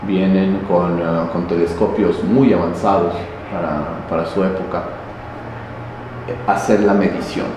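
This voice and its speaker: A man explaining local history in an interview, pausing often with fillers and restarts. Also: sits low, steady tone. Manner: halting, many fillers and restarts. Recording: very echoey, noisy, reverberant space.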